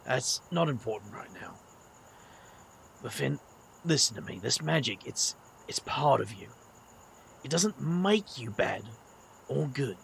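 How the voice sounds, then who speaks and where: Tired kindly british wizard bloke, middle aged, gravelly and wry, audio drama, faint crickets behind. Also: male voice, mid-range voice, lively intonation, measured pace, pauses often, a bit bright, a little hiss or hum. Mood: gentle, earnest.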